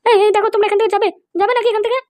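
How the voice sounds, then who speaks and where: A woman's voice: extremely high pitch, flat delivery, very fast, a little mellow. A woman voicing a feisty, scolding young granddaughter in a comedy cartoon. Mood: indignant, scolding. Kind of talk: amateur comedy cartoon dub.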